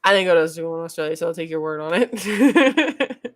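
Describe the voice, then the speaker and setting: A young adult woman from the United States hosting a casual chat stream, blunt and animated, laughing often as she talks. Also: on the low side, normal speed. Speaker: stream host. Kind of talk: casual chat reading chat messages.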